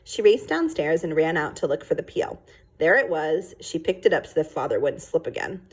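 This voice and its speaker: A woman narrates a children's story with lots of expression. Her voice is fairly low and a little husky, and it moves up and down in a lively way as she reads. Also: moderate pace, low-quality recording. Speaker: storyteller reading a children's book aloud. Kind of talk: expressive read-aloud narration.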